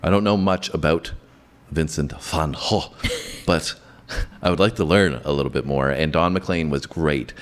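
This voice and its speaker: An easygoing young man talks in a very deep, low voice. He co-hosts a music reaction video and chats loosely with plenty of fillers. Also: a little slow. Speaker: co-host of a music reaction channel. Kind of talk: casual chat.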